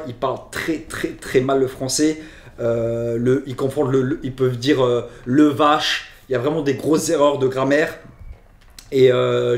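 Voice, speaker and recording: A man's voice: mid-range voice, a little mellow, reverberant. A man from Luxembourg with a Luxembourgish accent, chatting casually as he answers fan questions and often restarts his phrases. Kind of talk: casual Q&A chat.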